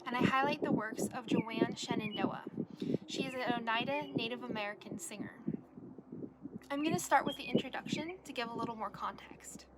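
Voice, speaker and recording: A young woman, a college student, reading her own essay aloud as a presentation in an earnest, measured way. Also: a little high, very noisy. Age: young adult.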